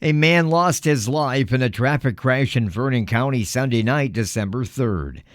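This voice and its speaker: A man's voice: mid-range voice, animated, moderate pace, no room sound, studio quality. An American man reading scripted radio news as a reporter and anchor.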